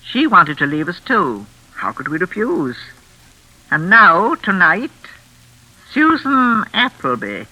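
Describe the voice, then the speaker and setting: An actress playing a menacing, unhinged older mistress of a crumbling hall, honeyed and insistent, in classic radio suspense style. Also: female voice, fairly low pitch, huge pitch swings, sharp tone, exaggerated voice. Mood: bitter, unhinged. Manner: theatrical, honeyed and insistent, with deliberate repetition.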